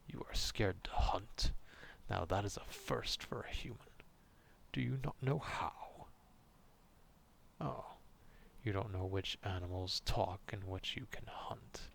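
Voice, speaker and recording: A very deep, gravelly male beast, acted by a man, slow and grumbling. He is a distrustful, menacing guardian of the forest in an ASMR roleplay, speaking down to humans with haughty disdain over faint background noise. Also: a bit bright, heavily acted. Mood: haughty, surprised.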